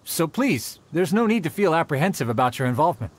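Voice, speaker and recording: An actor playing an earnest, dutiful young soldier in a fantasy video game, speaking formally and courteously in measured phrases. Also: male voice, on the high side, big pitch swings. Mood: formal, reassuring. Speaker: game character giving quest briefings. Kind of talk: scripted video game dialogue.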